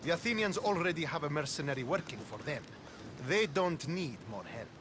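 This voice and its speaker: A man's voice: a little high, lively intonation, brisk, noisy background, natural voice. A man playing a proud, disciplined Spartan officer in a video game, formal and commanding. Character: proud, disciplined young Spartan officer devoted to his adoptive father. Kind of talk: video game cutscene acting.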